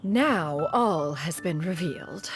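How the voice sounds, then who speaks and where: Game role: stately immortal mentor, archaic diction, gravelly edge, music underneath. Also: female voice, lowish voice, expressive, slow. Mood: solemn, apologetic. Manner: formal, archaic, stately speech, refers to self as "one". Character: aloof, dignified immortal crane adept, wise elder master. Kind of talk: scripted video game story dialogue.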